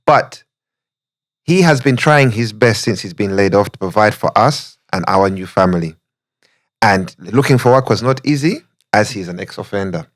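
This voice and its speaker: Low-voiced relaxed male podcast host. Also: normal speed. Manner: relaxed, jokey, laughs easily. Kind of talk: casual podcast chat, reading a listener letter.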